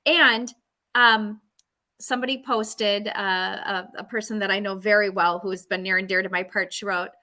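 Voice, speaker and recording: A woman in her fifties hosts a live interview show, thanking and praising her guest with open gratitude. Her voice is bright and forward, at a middle pitch. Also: fairly even intonation, moderate pace. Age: 50s. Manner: warm, effusive and gracious. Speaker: show host.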